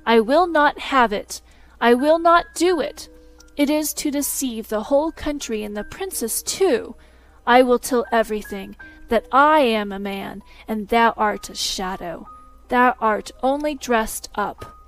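A woman's voice: fairly high pitch, unhurried, faint music behind, low-quality recording. A woman doing an outraged, protesting scholar. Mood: indignant. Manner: loud, protesting. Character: indignant learned man, defiant scholar.

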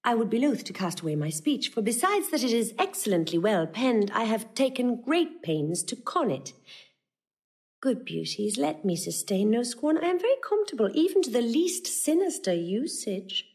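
A woman's voice: on the high side, very animated, normal speed, darkish tone. An actress playing a saucy, eloquent young woman disguised as a male messenger, in a declamatory, courtly classical Shakespearean style. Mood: courtly, flustered. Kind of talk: classical stage acting of Shakespeare.

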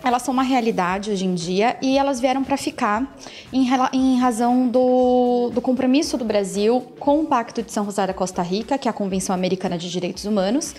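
A woman in her thirties, an invited lecturer, gives a measured, explanatory interview statement into a handheld mic in an office room. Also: a little high, darkish tone. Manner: measured, explanatory, with small restarts. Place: office room with logo wall. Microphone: handheld mic held below the face.

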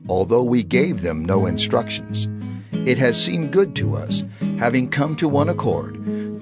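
Earnest elder of the early church, audio Bible drama, lively intonation, declamatory, measured, music underneath. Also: male voice, mid-range voice. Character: earnest male Jerusalem church elder, solemn and authoritative. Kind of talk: dramatized scripture reading.

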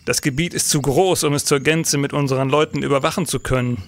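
An actor playing a stern, formal police senator in a nineteenth-century crime audio drama. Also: male voice, medium pitch, fairly even intonation, fast talker, lightly acted. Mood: sceptical. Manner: formal, grave. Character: stern, authoritative police senator of 1870s Germany.

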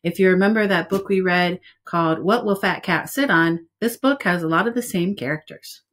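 A woman in her forties reading a picture book aloud to young children as a preschool teacher, with simple, expressive phrasing. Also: fairly low pitch, moderate pace.

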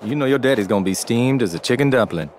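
Guy doing a sassy tiny dragon from a video game, grumbly slangy fast talker, voice bounces around, bit of background noise. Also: medium pitch, lively intonation, darkish tone, natural voice. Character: small sassy male dragon, dramatic, complaining sidekick. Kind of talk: animated video game acting.

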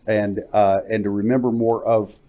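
A man in his fifties hosting a casual studio interview, talking informally with halting phrasing. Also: on the low side, level tone, muffled recording. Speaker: interviewer, co-presenter. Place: TV-style studio at a glass desk. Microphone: lapel mic.

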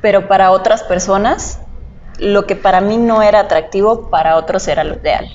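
A young adult woman from Cuba speaks in a casual, explanatory podcast style. Her voice is medium in pitch and reflective in delivery. The recording is slightly muffled, with a faint layer of noise. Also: fairly even intonation, moderate pace, darkish tone. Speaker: podcast guest, model. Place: indoor podcast set at a table.

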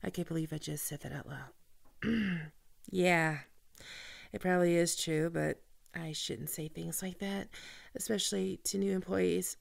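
A woman playing a flustered, flirty team leader in an ASMR roleplay, speaking haltingly and intimately to the listener with heavy vocal fry. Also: lowish voice. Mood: awkward, confiding. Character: flustered, flirty female team leader falling for a new coworker. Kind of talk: ASMR roleplay audio drama, one-sided conversation.

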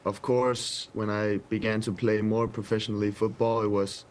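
A young man in his twenties with a Danish accent, answering interview questions over a video call from a press room, hesitant and soft-spoken with many pauses. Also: on the low side, level tone, a little hiss or hum. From Denmark. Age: 20s. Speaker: footballer guest. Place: indoor press room with sponsor backdrop. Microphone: webcam video call.

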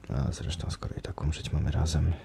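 A man voicing a menacing, unhinged male monster who speaks in a low, brooding, coldly tender way. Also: steady tone, brisk, gravelly, dark tone, a little hiss or hum, heavily acted. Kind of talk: audiobook character voice.

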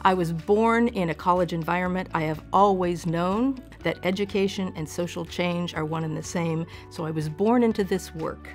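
A woman's voice: on the low side, normal speed, few pauses, soft background music. An older woman from Oklahoma, an associate dean, speaking earnestly in a studio interview. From Oklahoma, USA. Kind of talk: interview to camera. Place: studio with blue backdrop.

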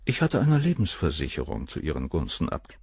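An actor playing a haughty, self-assured gentleman who answers questioning in a condescending, matter-of-fact way in a radio crime drama. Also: very deep voice, huge pitch swings, fairly quick, breathy edge, dry recording, studio quality, heavily acted. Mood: cool, assured.

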